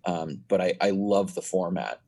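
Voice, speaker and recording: Young man, deep voice, husky, rambling storyteller, casual podcast chat. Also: normal speed, dark tone. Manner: rambling storyteller, many restarts. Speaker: podcast guest.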